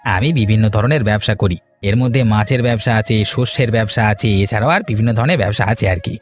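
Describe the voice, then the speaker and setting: A man's voice: on the low side, fast, lightly acted. A man voicing a greedy, sly village trader in a cartoon fairy tale, with a glib, persuasive, scheming way of talking. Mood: ingratiating. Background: background music.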